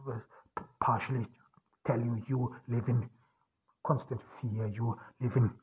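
Husky man, halting monologue on tinny line. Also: mid-range voice, flat delivery. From Austria. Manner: halting, many restarts and fillers. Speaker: podcast host. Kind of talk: solo spoken monologue.

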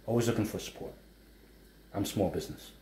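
A low, mellow-toned man with a New York accent rambles cheerfully through a home cooking demo, drifting into chatty asides. His voice rings a little in a roomy living space, with a faint hum of room noise behind. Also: fairly quick. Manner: chatty, rambling asides while stirring a wok. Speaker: chef and food blogger. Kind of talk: casual cooking demonstration. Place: home living room kitchen setup, indoors.

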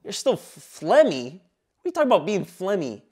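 A man in his twenties from Michigan hosting a comedy talk show sketch in a small studio, irreverent and excitable, swearing a lot. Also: sits high, fairly quick, slightly rough. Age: 20s. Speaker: host. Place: small indoor studio set.

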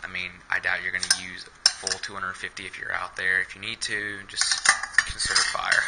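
A man's voice: deep voice, completely flat delivery, moderate pace, creaky, very noisy. A young man filming himself indoors with a head-mounted camera, casually walking through his camping gear with many ums and uhs. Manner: hesitant, many fillers. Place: small indoor room.

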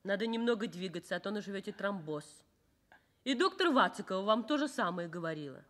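An actress playing a caring but reserved young nurse in a radio play, firm and encouraging. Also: female voice, medium pitch, animated, brisk, a bit bright, close to an everyday voice. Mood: brisk, firm. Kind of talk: Soviet radio play acting.

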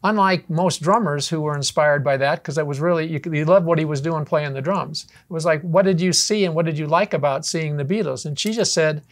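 An older man in his seventies with a somewhat high voice hosts a roundtable. He talks conversationally and wanders through anecdotes, recorded on a lapel mic in a studio. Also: fairly quick, hardly any pauses. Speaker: roundtable host. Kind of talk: conversational panel hosting. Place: drum studio, indoors. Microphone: lavalier mic.

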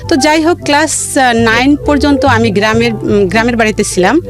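A woman from rural Bangladesh, telling her own life story in a radio interview, halting now and then and repeating phrases for emphasis. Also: fairly high pitch, music in the background.

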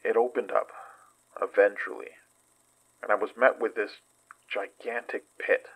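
A man's voice: on the low side, steady tone, a little slow, a bit bright, breathy edge, full character voice, low-quality recording. A man playing a wary, sardonic investigator in a horror audio drama, speaking in a terse, wry, conversational way. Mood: wry, uneasy recounting. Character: wary, sardonic male investigator recounting a frightening descent.

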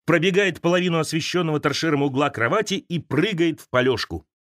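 A man narrating literary essays as an audiobook, expressively, with dramatic pauses and turns between wry and wistful. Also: fairly high pitch, no room sound, clean studio sound. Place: close, dry studio recording.

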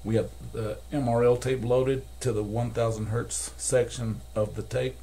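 An older man talks through a technical demonstration step by step. His voice is on the low side of medium with a fair amount of fry and gravel. He is recorded in a workshop with clear background noise. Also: level tone, moderate pace, dark tone. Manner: methodical, step-by-step explaining. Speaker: repair technician demonstrating calibration. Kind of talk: hands-on tutorial narration. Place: cluttered indoor electronics workshop. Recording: noisy workshop room recording.

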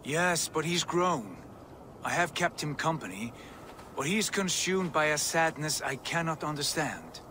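An actor playing an earnest, compassionate Norse god-warrior in a video game, speaking solemnly. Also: male voice, fairly high pitch, animated, a little slow, bright, forceful tone, a little hiss or hum. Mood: concerned. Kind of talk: cinematic video game acting.

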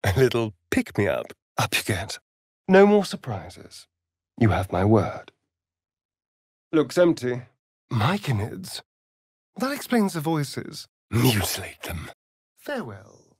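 A man with an English accent playing a sardonic, vain elven vampire rogue in a video game, arch, mocking and theatrical, with a breathy voice. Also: mid-range voice, huge pitch swings, takes it slowly, pauses often, dry recording, clean studio sound, exaggerated voice. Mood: low, cool. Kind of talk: video game character voice lines, theatrical delivery.

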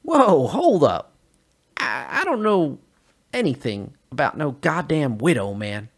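A man voicing a nervous male smuggler who stammers and raises his voice high and loud. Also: sing-song, takes it slowly, vocal fry, heavily acted. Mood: panicky. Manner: stammering, high-pitched and shouty.

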